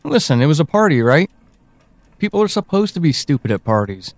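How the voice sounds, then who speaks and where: A man playing a teasing, evasive confidant in an audio drama, speaking slowly and deliberately with dramatic pauses. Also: fairly high pitch, sing-song, no room sound. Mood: wry, teasing. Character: teasing, evasive confidant guiding someone through dark memories. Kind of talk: audio drama acting, intimate monologue and dialogue.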